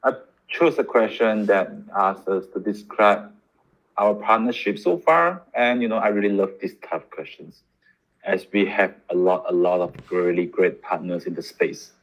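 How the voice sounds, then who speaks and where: Deep-voiced relaxed man hosting. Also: moderate pace, dull audio. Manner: relaxed, chatty, picks questions from chat. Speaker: host reading questions from the live chat. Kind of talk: livestream AMA.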